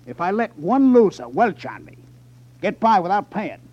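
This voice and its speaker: An actor playing a tough, sly gambling club boss in a 1950s radio crime drama, with a taunting, menacing manner. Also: male voice, high voice, sing-song, normal speed, darkish tone. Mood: scornful, threatening. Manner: sneering, taunting, hesitates slyly for effect. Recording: dull lo-fi 1950s radio recording.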